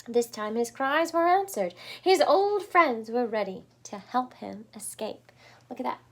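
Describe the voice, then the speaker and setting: A woman's voice: fairly high pitch, lively intonation, measured pace. A woman reading a children's story aloud, expressively and with chatty little asides. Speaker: storybook reader.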